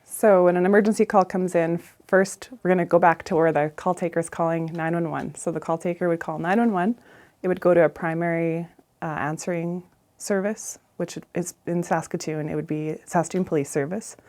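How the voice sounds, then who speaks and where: A woman's voice: on the low side, fairly even intonation, fairly quick, a little mellow. A middle-aged woman with a Canadian accent giving an informal, plain-spoken career talk as an emergency dispatcher.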